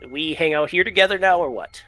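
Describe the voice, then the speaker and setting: A man's voice: fairly high pitch, a little fast, a bit bright, soft background music, close to an everyday voice. A man voicing a skittish, simple-minded kobold mine guard in broken, repetitive pidgin for a tabletop game. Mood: wary, simple. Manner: broken pidgin grammar, dropped words, repetitive. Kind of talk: tabletop role-play acting.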